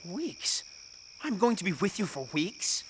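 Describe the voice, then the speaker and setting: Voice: mid-range voice, sing-song, a little slow, a bit bright, slight background noise. A performer playing a spoiled, indignant boy prince in an audio drama, haughty and full of protest. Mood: sullen, scornful.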